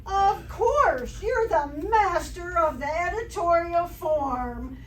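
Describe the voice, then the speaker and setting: An actress playing a wry, sardonic but patient schoolteacher in old-time radio comedy. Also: female voice, very high-pitched, expressive, takes it slowly, a bit bright, recorded from a distance, full character voice. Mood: placating, sly. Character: wry, dry-witted schoolteacher humoring fools. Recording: roomy stage recording from afar, hiss.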